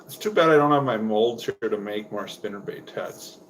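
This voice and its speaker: A young man from Wisconsin with a low voice, chatting casually on a home livestream while making fishing lures, rambling with lots of fillers. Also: animated, normal speed, fairly bright tone, a little hiss or hum. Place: indoors at home.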